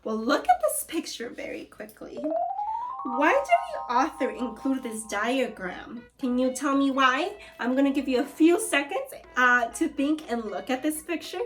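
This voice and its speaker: A woman's voice: sits high, sing-song, music in the background. A woman teacher reading a nonfiction book aloud to young children and asking them questions. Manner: slow, deliberate, addresses kids as scholars. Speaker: second grade teacher. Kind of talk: read-aloud lesson for children.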